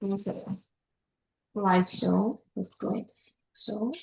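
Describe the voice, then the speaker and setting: A woman moderating a conference, briskly introducing the next team. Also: mid-range voice, fairly even intonation, creaky. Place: conference hall. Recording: echoey hall, muffled mic.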